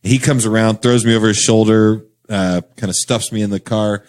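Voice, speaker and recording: A man's voice: lowish voice, steady tone, normal speed, darkish tone. A young man telling a long story in a casual chat as a podcast guest, rambling with lots of fillers and laughing as he goes. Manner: rambling, filler-heavy, laughs through stories.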